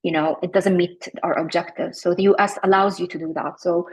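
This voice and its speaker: A woman in her forties, from Iraq, talking reflectively as an interview guest, often pausing with fillers and restarting her sentences. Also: fairly low pitch, steady tone, a little mellow, husky, low-quality recording. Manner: thoughtful, many fillers and restarts.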